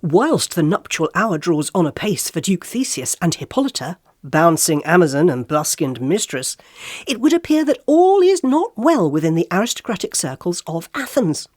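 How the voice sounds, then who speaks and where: A woman's voice: fairly low pitch, sing-song, normal speed, darkish tone, dry recording, studio quality. A woman playing a gossipy tabloid website reporter, reading a scripted column in an arch, theatrical, teasing way. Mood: arch, confiding.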